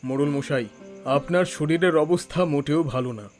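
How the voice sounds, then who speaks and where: A man voicing a scheming, greedy village doctor in a cartoon, with smooth, wheedling bedside talk. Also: on the high side, a little fast, soft background music, natural voice. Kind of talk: cartoon voice acting.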